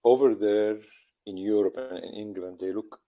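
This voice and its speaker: A middle-aged football coach from Lebanon answering interview questions in halting English full of pauses and fillers. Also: male voice, on the low side, narrow, tinny audio.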